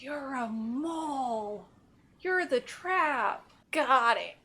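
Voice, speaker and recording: A woman's voice: sits high, animated, very slow, sharp tone, slightly rough. A woman hosting a gaming video with casual, joking, sarcastic commentary. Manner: sarcastic, wry.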